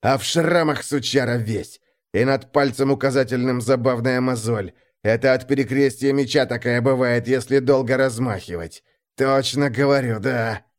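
A man voicing a seasoned, mean bandit leader with a gruff, commanding, measured manner in an audiobook. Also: mid-range voice, close to an everyday voice. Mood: measured, suspicious. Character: seasoned mean half-drunk bandit leader in his thirties.

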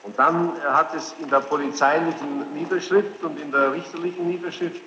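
A man's voice: a little high, fairly even intonation, dull audio. Insistent male interrogator, noisy echoing tape. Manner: insistent, halting, long-winded. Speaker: interrogator questioning a witness. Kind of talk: formal courtroom-style questioning. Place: large reverberant room.

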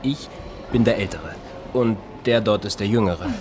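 A man's voice: on the low side, normal speed, noisy background, lightly acted. A man playing a polite, forward young bank robber in a radio play, with a formal, courteous way of talking. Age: young adult. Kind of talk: radio drama acting.

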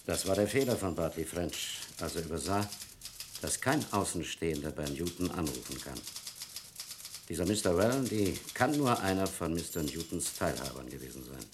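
Deep-voiced, stern police captain. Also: male voice, animated, normal speed. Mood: grave, decisive. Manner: probing, formal, authoritative. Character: stern, methodical male police captain investigating a murder. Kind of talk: 1960s German radio crime drama acting. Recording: noisy 1960s radio recording.

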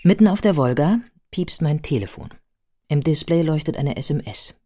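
An actress playing a thoughtful modern biographer, giving measured, articulate literary narration in a radio play. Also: female voice, low voice, lively intonation, warm tone, a little hiss or hum. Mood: personal, wry.

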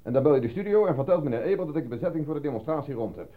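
A man's voice: fairly high pitch, expressive, natural voice. Gehaaste, bazige man, door een acteur gespeeld. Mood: brisk, impatient. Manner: brisk, commanding. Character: busy, impatient businessman giving orders. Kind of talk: radio play acting. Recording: narrow phone-like old recording.

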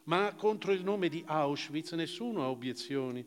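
A man giving a dramatic literary reading as a stage actor, with deliberate phrasing and weighted pauses. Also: on the high side, expressive, soft background music. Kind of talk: dramatic literary reading, first-person narration. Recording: long hall reverb of a live performance.

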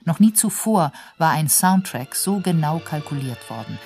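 A woman's voice: deep voice, lively intonation, normal speed, gravelly, darkish tone, soft background music. A woman reading scripted narration for a radio documentary.

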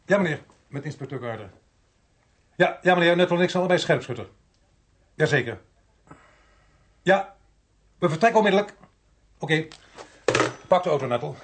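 A man's voice: a little high, lively intonation, moderate pace, pauses often, husky. An actor playing a dry, wry police inspector who narrates his case in a radio drama. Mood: brisk, businesslike. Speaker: narrator and lead character.

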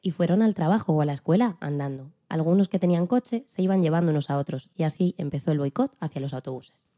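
A woman playing a dignified elderly female civil rights activist who recalls her struggle in a calm, earnest, plain-spoken way, as scripted interview storytelling. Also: sits low, fast. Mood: earnest recounting. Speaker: podcast guest in a dramatized interview. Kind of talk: scripted first-person storytelling in interview form.

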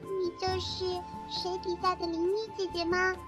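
A woman playing an excitable, innocent little girl with a childlike, eager manner in a Chinese fantasy radio drama. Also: very high-pitched, moderate pace, loud music behind the voice. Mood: curious.

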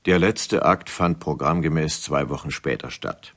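A man's voice: rumbling low, a little slow, a bit bright. A man narrating a radio drama as a scripted storyteller.

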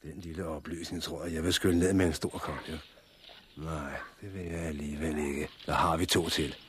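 A man's voice: a bass voice, fairly even intonation, a little hiss or hum. A man acting in a 1960s radio drama as a blunt, sardonic, swearing survivor of a catastrophe. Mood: sardonic, alarmed. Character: blunt, cynical, swearing male survivor of a plant apocalypse.

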